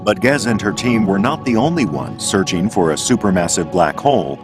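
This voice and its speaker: Man, documentary narrator, low pitch, lively intonation, deliberate scripted delivery. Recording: music under the voice. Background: background music.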